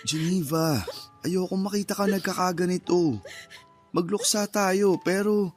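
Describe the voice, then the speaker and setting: An actor playing a grieving young husband who gently pleads and consoles in radio-drama style. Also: male voice, high voice, steady tone, measured pace. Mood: consoling, pained. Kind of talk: radio-drama dialogue acting. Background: music.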